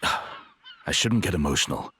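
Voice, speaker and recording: A man voicing a grave, honourable, regretful warrior in a video game, earnest and measured. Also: deep voice, wildly swooping pitch, pushed and bright, slight background noise, exaggerated voice. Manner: earnest, measured, formal, brooding. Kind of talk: dramatic video game voice acting.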